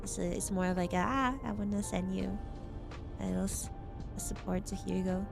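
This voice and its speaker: A woman VTuber with a hoarse voice, chatting casually with her stream, rambling and giggling often. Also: medium pitch, fairly even intonation, brisk, music in the background.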